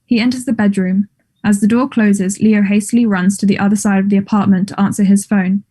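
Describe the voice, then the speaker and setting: Woman, stage directions narrator, soft dark tone, measured, neutral, scripted. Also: mid-range voice, steady tone, breathy edge.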